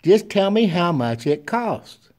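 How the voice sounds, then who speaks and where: Earnest, stammering little girl, pitched high and pushed loud, as a man puts her on. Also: sing-song, slow, exaggerated voice. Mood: pleading. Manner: pleading, stammering. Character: small girl, earnest and pleading.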